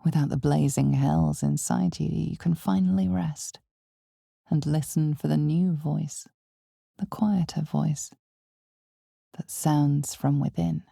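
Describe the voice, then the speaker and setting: A woman performing a solemn, ominous narrator for a dark fantasy game, with a husky, gravelly voice and a measured, deliberate delivery. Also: steady tone, no room sound, studio quality, heavily acted. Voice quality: husky, breathy, gravelly low voice. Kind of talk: scripted dramatic fantasy game narration in second person.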